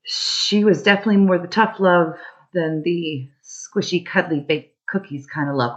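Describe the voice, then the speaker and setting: Low voiced lady on a podcast telling her stories, candid n emphatic, bit muffled lo-fi sound. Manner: candid, emphatic, colourful colloquial phrasing. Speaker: podcast guest telling family memories. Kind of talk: conversational personal storytelling.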